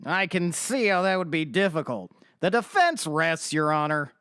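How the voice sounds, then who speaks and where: Animated, sharp male defense lawyer. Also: fairly high pitch, moderate pace, smooth, dry recording, studio quality. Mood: forceful. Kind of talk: audio drama acting.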